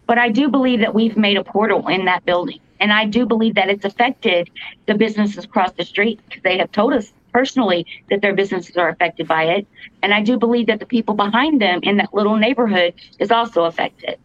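A woman with a fairly deep voice, earnestly telling a story as a podcast co-host, halting with fillers and restarts. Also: no echo. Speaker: podcast co-host, paranormal investigator. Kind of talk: casual storytelling. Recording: dull lo-fi podcast audio, dry.

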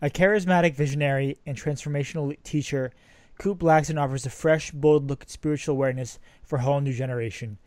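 A man's voice: on the high side, fairly even intonation, fast, a little mellow, no echo. A man hosting a podcast, reading a polished, upbeat intro and asking interview questions. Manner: upbeat, polished announcer delivery. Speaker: podcast host.

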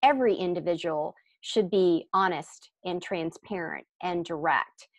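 A woman's voice: on the low side, a little slow, no room sound. A woman speaking as a podcast guest in a conversational interview, explaining earnestly and emphatically and often rephrasing.

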